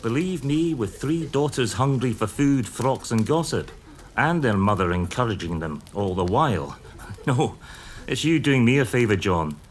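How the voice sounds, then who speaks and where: An actor playing a genial middle-aged banker who talks cheerfully with a guest after dinner. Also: male voice, medium pitch, lively intonation, normal speed, close to an everyday voice. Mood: cordial. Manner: warm, hearty, laughing.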